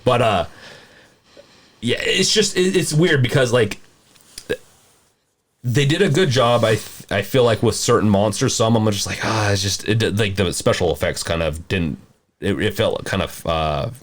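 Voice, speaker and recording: A man hosting a casual movie discussion podcast, talking in a halting, rambling way and often rephrasing mid-sentence. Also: fairly low pitch. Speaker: podcast host.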